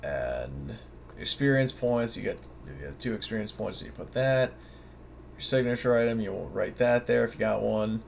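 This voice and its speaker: A man's voice: fairly low pitch, lively intonation, normal speed, gravelly. A man giving an informal, off-the-cuff tabletop game tutorial, rambling casually and thinking aloud over a noisy recording. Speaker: tabletop game guide presenter. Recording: noticeable background noise.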